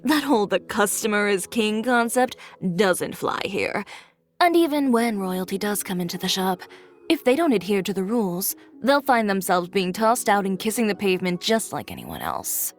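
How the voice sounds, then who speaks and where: A woman's voice: medium pitch, big pitch swings, moderate pace, close to an everyday voice. An actress playing a confident, blunt young female boutique owner in a video game, with a cool, curt, self-assured manner. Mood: stern. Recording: music behind.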